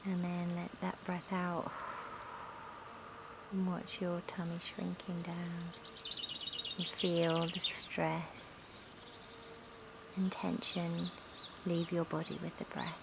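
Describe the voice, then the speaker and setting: A woman guides a relaxation meditation in a calm, gentle voice. Her delivery is very slow and even, settling into a soft creak at times. She is recorded outside in a garden, with insects and nature sounds clearly audible around her. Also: lowish voice, noisy background. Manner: calm, soothing, gentle instructional delivery with long pauses. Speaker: yoga nidra instructor. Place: outdoors in a garden. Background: insects, sounds of a sunny garden.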